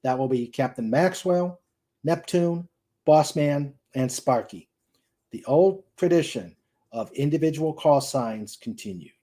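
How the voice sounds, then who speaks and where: A man's voice: on the high side, measured pace, warm tone. A man narrating a novel aloud as a dramatic reading, careful, with occasional stumbles over words. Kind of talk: dramatic audiobook-style narration.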